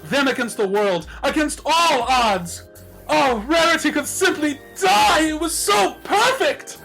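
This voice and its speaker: A man's voice: very high voice, animated, a little slow, gravelly, bright, forceful tone, faint music behind, pitched above the performer's own voice, heavily acted, rough recording. A man voicing a melodramatic, romantic, refined female unicorn in a theatrical, swooning manner.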